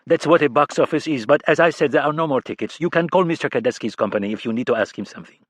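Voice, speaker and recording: A man's voice: medium pitch, very fast, dry recording, voice pushed up, natural voice. A man voicing a curt, dismissive young stagehand with a thick French-Canadian accent in an audiobook. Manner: brusque, dismissive.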